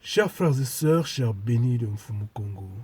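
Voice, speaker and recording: A man with a cold preaches slowly and deliberately, his voice husky and mellow. He stresses his points and often repeats key phrases. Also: medium pitch, animated. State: congested with a cold. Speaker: spiritual preacher. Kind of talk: video sermon to followers.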